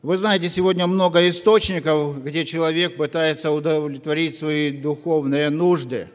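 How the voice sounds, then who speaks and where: A man preaching a sermon to his congregation, earnest and full of pauses. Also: on the high side, steady tone. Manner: slow, earnest, with long pauses. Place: church hall. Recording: long reverb of a church hall.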